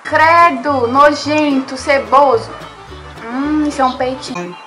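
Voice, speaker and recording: High-voiced Brazilian woman teasing strangers. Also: a bit bright, faint music behind, low-quality recording. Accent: Brazilian Portuguese. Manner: teasing, sarcastic, quick banter. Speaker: YouTuber. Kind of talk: casual webcam chat with strangers. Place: home kitchen, indoors. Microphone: laptop webcam mic.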